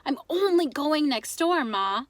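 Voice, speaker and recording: A stubborn, exasperated young storybook boy, voiced by a woman, high and swooping in pitch. He complains insistently, in a dry recording. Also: expressive, measured pace, no room sound. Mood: brisk. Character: frustrated, stubborn young boy narrating his own life. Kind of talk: children's storybook read-aloud.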